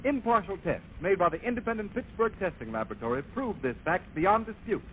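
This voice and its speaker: A man's voice: sits high, expressive, a little fast. A man announcing formally in a declamatory 1930s radio style, reading scripted advertising and narration. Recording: noisy, tinny 1930s radio recording.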